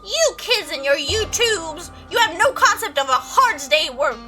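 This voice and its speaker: A woman's voice: very high voice, very animated, a little slow, music in the background, heavily acted. A woman voicing a stubborn old male country farmer with a put-on Southern accent and a folksy, scolding manner. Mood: aggrieved.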